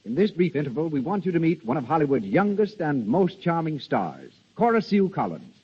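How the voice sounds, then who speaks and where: Husky, lively male vintage radio announcer. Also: fairly high pitch, brisk. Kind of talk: 1930s scripted radio advertising. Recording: dull, reverberant 1930s radio recording.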